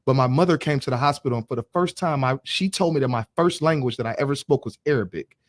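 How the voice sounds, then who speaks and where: A man hosting a casual group chat, animated and laughing often. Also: mid-range voice, quick pace, no room sound, studio quality. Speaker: podcast host.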